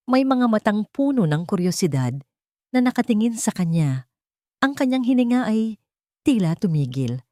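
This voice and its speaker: A woman's voice: low-pitched, warm tone, no echo, clean studio sound. A woman with a Filipino accent, reading a scripted love story as a dramatic audiobook narrator.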